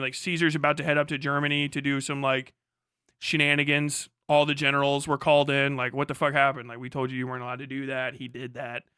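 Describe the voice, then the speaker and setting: A man's voice: on the high side, bright, forceful tone, smooth, no room sound, studio quality. An American man giving casual, off-the-cuff livestream commentary in a rambling, sardonic, profane way. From United States. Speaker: live streamer, political commentator.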